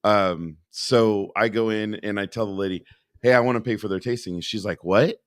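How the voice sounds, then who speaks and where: A man in his forties with a lowish voice tells a story on a podcast. He talks casually and acts out the people he quotes. The sound is dry and close. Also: moderate pace, no echo. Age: 40s. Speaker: podcast co-host.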